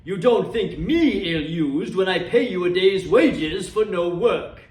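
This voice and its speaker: Casting: male reader playing stingy old storybook boss, curt and grumbling, high-pitched, roomy echo. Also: expressive, takes it slowly. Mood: sour, grudging. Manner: curt, grudging, sour. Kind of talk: storybook reading. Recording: roomy echo, distant microphone.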